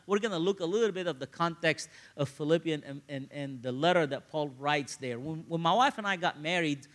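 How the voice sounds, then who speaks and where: A man, preaching in an animated, conversational storytelling style and repeating phrases for emphasis. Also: a little high, brisk. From Egypt, grew up in Cairo. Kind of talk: sermon with personal storytelling.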